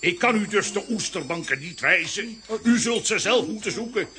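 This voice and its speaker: A man's voice: sits high, lively intonation, normal speed, a crowd in the background. An actor playing a grumpy, blustering undersea king and father in a children's audio drama, theatrical and declamatory. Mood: solemn, regretful.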